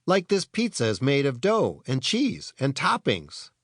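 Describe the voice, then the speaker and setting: A man's voice: medium pitch, huge pitch swings, unhurried, a bit bright, no room sound, studio quality, pitched above the performer's own voice, full character voice. Man playing a skeptical, baffled young boy. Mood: puzzled. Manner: blunt, puzzled questioning. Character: skeptical, easily baffled boy, the inventor's pal.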